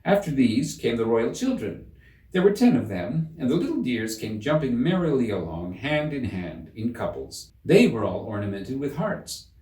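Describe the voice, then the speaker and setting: A man giving a measured, theatrical reading of a children's story in an echoing room. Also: medium pitch, big pitch swings, warm tone, far from the mic. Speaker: audiobook narrator. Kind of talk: expressive scripted storybook narration. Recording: roomy echo, slightly off the mic.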